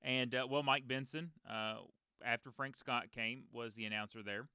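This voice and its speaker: A man in his thirties with a deep voice, a race announcer hosting a casual podcast and telling long stories with many fillers. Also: fairly even intonation, normal speed, bright, forceful tone, clean tone, dry recording. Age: 30s. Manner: rambling storyteller, many fillers and restarts.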